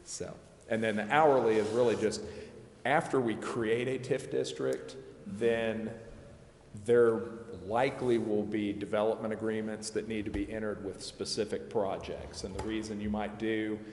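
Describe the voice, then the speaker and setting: Male, lowish pitch, lawyer addressing a meeting, informal and self-deprecating, echoey room. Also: steady tone, measured pace, a little mellow. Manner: plain-spoken, discursive, self-deprecating. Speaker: lawyer advising a city council. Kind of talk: informal explanation at a public meeting. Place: indoor council chamber. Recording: echoey hall with long reverb, background noise.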